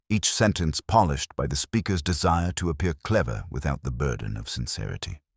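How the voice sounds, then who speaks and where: A man reading a scripted first-person detective story as its narrator, in a measured, reflective, literary manner. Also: rumbling low, no echo, studio quality.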